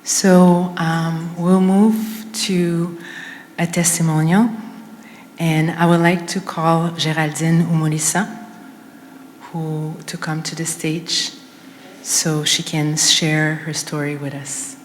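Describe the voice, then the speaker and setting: A woman hosting an event announces the next speaker at a slow, careful pace. Her voice is low and even. A long reverb from the hall hangs behind her words. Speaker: event emcee. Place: large commemoration hall. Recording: reverberant hall, faint noise.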